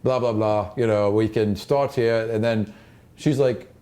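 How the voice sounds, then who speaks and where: A man's voice: lowish voice, steady tone, measured pace, warm tone. A man narrating a tabletop fantasy game as game master, moving between descriptive scene-setting and casual asides.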